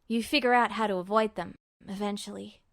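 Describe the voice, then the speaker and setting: A woman's voice: mid-range voice, steady tone, fairly quick, vocal fry, pitched below the performer's own voice, lightly acted. A woman voicing a brash, defensive young man who talks bluntly and informally in an audio story. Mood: defensive, resigned.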